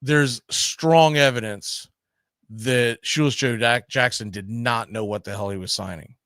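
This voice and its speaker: A man's voice: mid-range voice, a little slow, fairly bright tone, dry recording. Male sports talk host, mid-range voice, emphatic storyteller, live-show commentary, dry recording.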